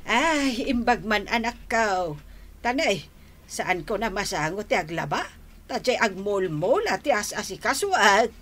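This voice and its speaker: A woman's voice: medium pitch, sing-song, measured pace, a bit bright. A woman with a Northern Philippine accent playing a watchful, grumbling mother of a grown daughter in a radio drama. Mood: motherly.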